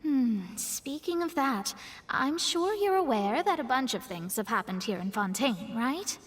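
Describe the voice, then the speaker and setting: A woman's voice: high voice, animated, a little slow, long reverb tail, slight background noise. A woman voicing a proud young female astrologist in a studio game, composed and a little haughty as she explains things. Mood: serious.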